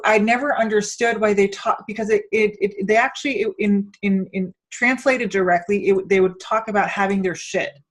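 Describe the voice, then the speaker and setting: A woman from Denmark hosting a conversational interview, thinking aloud and often searching for her words as she rambles toward a point. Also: medium pitch. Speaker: podcast host and interviewer.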